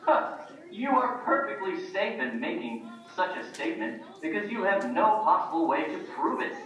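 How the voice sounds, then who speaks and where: A man's voice: high voice, big pitch swings, normal speed, fairly bright tone. A man playing a skeptical ancient king puppet in a children's show, speaking in a formal, challenging way. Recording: muffled, echoey room, far from mic.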